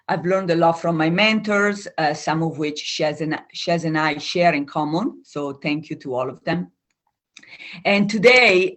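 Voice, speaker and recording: A woman from Italy with an Italian accent, a coach calmly explaining ideas as a guest over a video call. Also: low voice. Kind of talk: explanatory interview talk.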